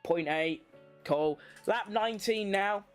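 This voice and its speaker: A woman's voice: medium pitch, slow pace, soft background music. A woman hosting a watchalong, giving casual live motorsport commentary in an informal, slangy way, as if thinking aloud. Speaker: livestream watchalong host.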